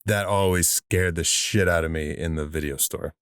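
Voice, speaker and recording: A man's voice: sits low, fairly even intonation, normal speed, fairly bright tone, clear, resonant tone, no echo. A man co-hosting a podcast, telling stories in a rambling way, laughing as he talks. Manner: rambling with many fillers and restarts, laughs while telling stories. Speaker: podcast co-host. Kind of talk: casual chat.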